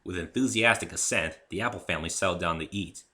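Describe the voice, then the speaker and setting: A man narrating a first-person story as an expressive dramatic reading. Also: low voice, fast. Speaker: narrator and author.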